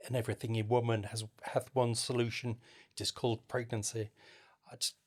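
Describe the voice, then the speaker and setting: A man's voice: mid-range voice, a little mellow. A man co-hosting a literary interview, putting questions and comments to a guest. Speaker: co-host, interviewer.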